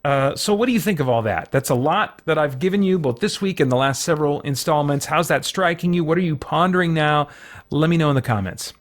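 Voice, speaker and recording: Higher-pitched man, casual Bible teacher. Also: expressive. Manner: casual, halting, thinking aloud with frequent asides. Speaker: host teaching a Bible study to an online audience. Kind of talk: informal sermon, conversational Bible teaching.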